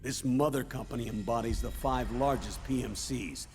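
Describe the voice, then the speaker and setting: An actor playing a grave older military commander in a video game, speaking in a solemn, measured, gravelly voice. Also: male voice, mid-range voice, a little mellow, slight background noise, close to an everyday voice. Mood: grave, informing. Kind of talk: dramatic video game briefing.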